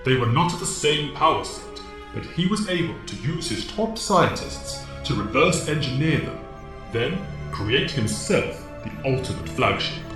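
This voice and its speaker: A man's voice: mid-range voice, expressive, measured pace, lightly acted. A man playing a wise, commanding mentor in an audio drama, speaking formally and solemnly. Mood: grave urgency. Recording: echo effect over music bed.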